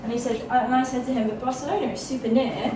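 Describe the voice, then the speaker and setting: A woman's voice: fairly high pitch, fairly even intonation, fast, roomy sound, far from the mic, noisy background. A woman vlogging and chatting casually, self-deprecating and playful. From England. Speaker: travel vlogger. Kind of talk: casual chat to camera.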